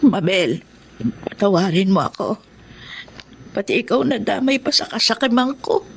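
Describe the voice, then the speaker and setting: Casting: greedy, blustering female eatery owner, radio drama, animated and high-toned, noisy recording. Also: moderate pace, vocal fry. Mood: subdued, remorseful. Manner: indignant, blustering when accused. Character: brazen greedy eatery owner, defensive, later remorseful woman. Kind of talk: Filipino radio drama acting.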